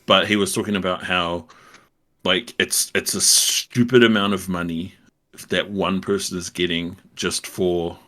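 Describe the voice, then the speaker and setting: Low, bright-voiced laid-back podcast guy. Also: fairly even intonation, measured pace. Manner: laid-back, rambling, laughs often. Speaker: podcast co-host. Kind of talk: casual chat.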